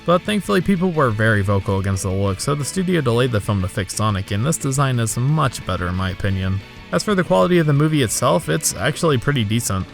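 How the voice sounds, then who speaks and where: A man's voice: mid-range voice, expressive, fast talker, gravelly, few pauses. A man narrating a scripted comedic video review in a sarcastic, exasperated way. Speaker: video essay host. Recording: background music under voice. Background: music.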